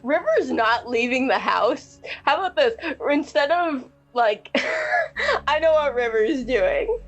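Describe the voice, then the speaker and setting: A woman's voice: high voice, sing-song, takes it slowly, a bit bright, soft background music, low-quality recording. A woman talking as a player in a tabletop roleplaying game, casual and informal, with a teasing way about her. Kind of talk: casual game chat among friends.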